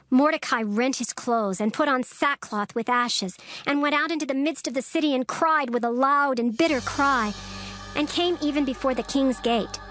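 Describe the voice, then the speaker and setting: A woman giving a solemn, measured scripted narration of scripture. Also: fairly high pitch. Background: music.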